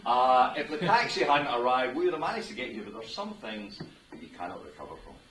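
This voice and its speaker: A jovial middle-aged Scottish minister leads a wedding, chatting and joking with the guests. He is caught from some way off, and his voice rings around a roomy venue over steady background noise. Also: mid-range voice, a little fast, far from the mic. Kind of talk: officiating a wedding ceremony.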